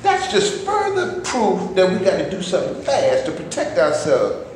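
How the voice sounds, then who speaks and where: Man doing a fed-up indignant man, high lively voice, heated, echoey stage recording. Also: moderate pace. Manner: impassioned, indignant. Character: fed-up, impatient man demanding his share. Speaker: character in a staged reading. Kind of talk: stage play reading. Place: theater before a live audience. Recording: echoey room, faint background noise.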